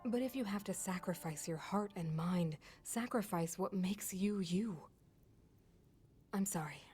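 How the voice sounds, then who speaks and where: A calm scholar from a fantasy video game, acted by a woman. Her voice sits fairly low for a woman and she explains things in a measured, thoughtful manner. Music plays clearly behind her. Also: lightly acted. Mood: grave, regretful. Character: calm scholarly woman, older sister of a king. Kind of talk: video game cutscene acting.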